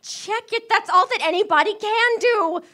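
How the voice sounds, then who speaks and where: Actress doing a high sharp-voiced bedridden wife, whiny n bossy, stammery and frantic, radio thriller play. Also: female voice, normal speed. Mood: indignant, agitated. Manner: fretful, stammering, demanding. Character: imperious, panicky, self-pitying bedridden invalid wife. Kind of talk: live radio-thriller theater acting.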